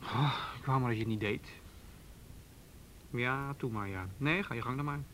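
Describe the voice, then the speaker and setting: A man's voice: medium pitch, normal speed, fairly bright tone, a little hiss or hum, natural voice. A man acting a dry, sardonic, worried policeman in a naturalistic radio drama. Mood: worried, exasperated. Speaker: police officer.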